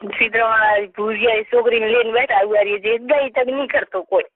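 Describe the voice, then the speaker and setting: A woman's voice: fairly high pitch, steady tone, a little fast, no echo. A young woman with a rural Rajasthani Marwari accent, complaining in colloquial speech during a private phone conversation. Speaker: caller, niece confiding in relative. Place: recorded telephone call. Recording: muffled narrow telephone line.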